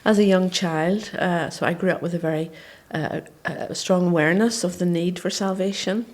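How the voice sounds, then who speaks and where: A woman from Northern Ireland, a missionary guest speaking softly and hesitantly about her background. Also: fairly low pitch, moderate pace. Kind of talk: personal testimony.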